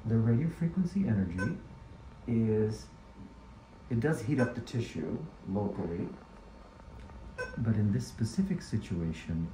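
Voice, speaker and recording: A man working as an ear, nose and throat doctor, explaining a procedure to a patient in a measured, instructive way. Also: on the low side, expressive. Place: small clinic exam room, indoors. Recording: roomy clinic room with background noise.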